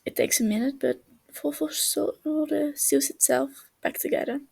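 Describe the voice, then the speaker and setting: A woman reading a story aloud in a slightly halting way, as an amateur narrator. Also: high-pitched, vocal fry, a little mellow. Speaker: amateur fan fiction reader.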